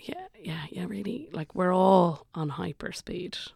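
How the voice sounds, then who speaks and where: An Irish theatre performer and playwright chatting reflectively as a podcast guest, moving between soft and lively. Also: medium pitch, measured pace, creaky. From Ireland. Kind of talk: casual podcast conversation.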